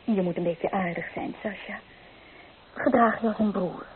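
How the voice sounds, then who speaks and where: On a thin, hissy old radio recording, an actress plays an earnest, proper young bride-to-be, loyal and gently reproving. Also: female voice, medium pitch, normal speed, phone-quality sound, natural voice. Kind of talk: radio play acting.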